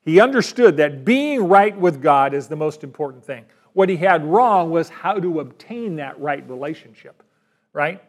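A man's voice: fairly high pitch, animated, moderate pace. A man preaching a sermon in an emphatic way, often asking the listeners rhetorical questions. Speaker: pastor.

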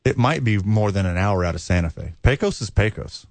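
A man's voice: fairly low pitch, expressive, fairly quick, vocal fry, a little mellow, no echo. A man from Texas co-hosting a casual sports podcast, trading dry, teasing banter. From Texas, USA. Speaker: podcast co-host.

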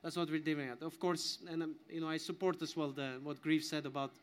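A man's voice: on the high side, fairly even intonation, quick pace, slight background noise. A man speaking off the cuff as an academic guest in a discussion, often restarting his phrases. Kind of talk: spontaneous panel explanation.

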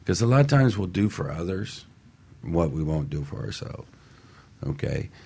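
A man's voice: deep voice, very animated, moderate pace, creaky, mellow, slightly rough. A man talking in a casual motivational style as a radio co-host, making emphatic points in short repeated phrases.

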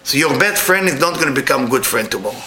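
A middle-aged man with an Israeli accent giving a spiritual lecture to a live audience. He speaks with non-native grammar and jumps up high when he acts out what other people said. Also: lively intonation, fast talker, echoey room, crowd noise.

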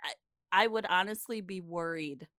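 A woman with a bright, slightly low voice co-hosts a casual podcast. She speaks slowly and reflectively, stretching words for emphasis, in a dry, close recording. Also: no echo. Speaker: podcast co-host. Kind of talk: casual, chatty podcast discussion.

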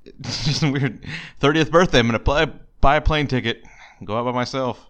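A man's voice: mid-range voice, normal speed, a bit bright. A man chatting on a casual podcast, with a wry, teasing manner. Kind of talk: casual chat.

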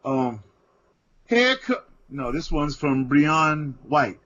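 A man co-hosting a podcast in casual chat, loose and self-mocking as he gives shout-outs. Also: on the high side, big pitch swings, slow, dull audio. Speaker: podcast co-host.

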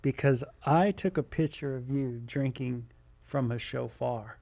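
A man's voice: mid-range voice, unhurried, vocal fry, dark tone. A man, a pastor on a live show panel, chatting casually in an easygoing, joking way. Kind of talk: casual chat.

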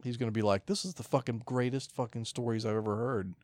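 A man's voice: medium pitch, steady tone, fast, a little mellow, no room sound. A man co-hosting a podcast in casual, sweary conversation. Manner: profane. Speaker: podcast co-host. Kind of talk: casual chat.

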